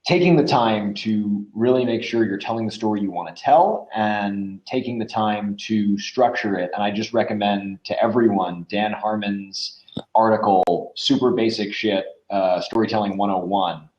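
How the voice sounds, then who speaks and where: A man giving casual advice as a podcast guest, speaking informally and somewhat haltingly. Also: on the low side, a little mellow. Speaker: podcast guest, audio drama creator. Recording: roomy, dull lo-fi audio.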